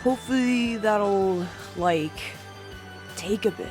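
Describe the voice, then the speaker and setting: A cocky muscle-bound dude played by a man talks slowly and casually in slang. It is audio drama acting, with music clearly underneath. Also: high voice.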